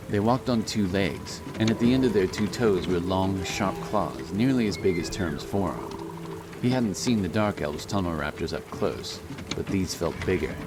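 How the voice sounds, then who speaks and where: A man narrating a fantasy audiobook in a measured, solemn, dramatic storytelling style. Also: low voice. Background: background music and sound effects.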